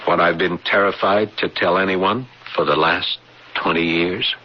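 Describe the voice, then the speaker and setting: An actor playing a troubled artist husband with a haunted past in a 1980s radio drama, speaking haltingly and repeating his words. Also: low-pitched, fairly even intonation. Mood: haunted, confessing. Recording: muffled, hissy old radio broadcast.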